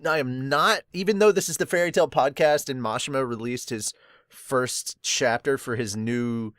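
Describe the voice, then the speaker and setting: A man's voice: mid-range voice, no room sound, studio quality. Rambling young man hosting a podcast. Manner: rambling, filler-heavy, chatty. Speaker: podcast host. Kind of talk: casual manga review.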